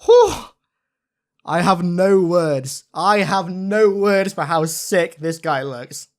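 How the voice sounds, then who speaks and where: A man's voice: sits high, big pitch swings, slow. A young man giving an informal, enthusiastic video game breakdown with an emphatic, gushing manner. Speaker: video game content creator.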